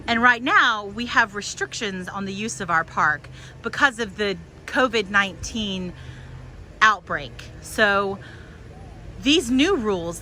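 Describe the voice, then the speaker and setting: Slow, very expressive children's storytime woman. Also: fairly high pitch, rough recording. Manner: simple, deliberate phrasing for small children. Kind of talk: storytelling and guided talk for young children. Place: outdoors in a public park. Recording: outdoor park with faint background noise.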